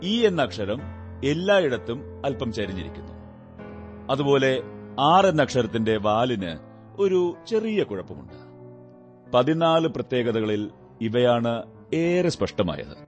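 An actor playing a shrewd, composed male detective in a radio drama, speaking deliberately with theatrical pauses. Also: mid-range voice, very animated, faint music behind. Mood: analytical.

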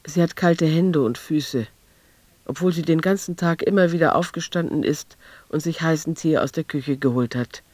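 A man's voice: fairly high pitch, normal speed, a little mellow, no room sound, natural voice. An actor as a precise, matter-of-fact male narrator reading scripted radio drama narration. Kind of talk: scripted present-tense narration.

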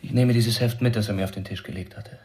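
An actor playing a fearful, fragile young musician in hiding, halting and introspective, in a radio drama. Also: male voice, fairly low pitch, fairly even intonation, a little mellow, close to an everyday voice. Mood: nervous wonder. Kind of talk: German radio drama acting, inner monologue.

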